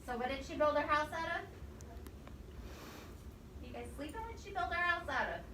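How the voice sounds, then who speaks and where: A woman reads a children's story aloud in a high, bright voice. She is expressive and breaks off to ask her listeners questions. The recording is roomy, with the mic some way off. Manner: expressive, pausing to quiz young listeners. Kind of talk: interactive picture-book read-aloud. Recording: roomy echo, distant mic, faint noise.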